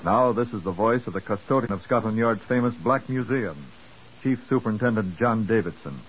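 A man's voice: lowish voice, a little fast. A man announcing formally in the style of a 1950s radio programme. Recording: muffled, hissy old radio broadcast.

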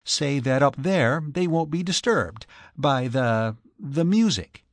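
A man's voice: mid-range voice, big pitch swings, moderate pace, no echo. A man voicing an eager, urgent young married woman who coaxes earnestly in an audiobook. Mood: inspired, eager. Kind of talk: audiobook character voice.

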